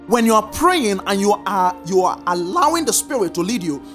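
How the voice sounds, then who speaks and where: Lively sing-song preacher. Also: mid-range voice, faint music behind. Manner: lively sing-song emphasis, slow drawn-out repeated commands. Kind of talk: sermon teaching.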